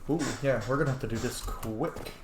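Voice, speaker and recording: A man's voice: medium pitch, a little fast. A man giving casual, playful let's play commentary, muttering informally to himself as he goes, in an echoey room. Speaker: gaming video host. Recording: echoey room with faint noise.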